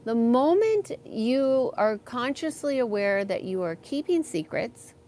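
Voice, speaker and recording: A woman hosting a live stream from her backyard, talking slowly and softly, pausing with ums as she reads and answers chat comments. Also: fairly high pitch, very animated. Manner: slow, soft-spoken, with frequent ums.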